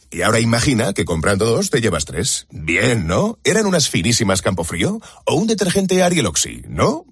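Raspy man reading a breezy ad. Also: mid-range voice, no room sound, clean studio sound. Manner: breezy, persuasive. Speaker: commercial voice-over. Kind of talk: conversational ad read.

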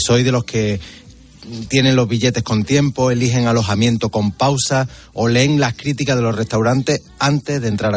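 Man reading a reflective radio column. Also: medium pitch.

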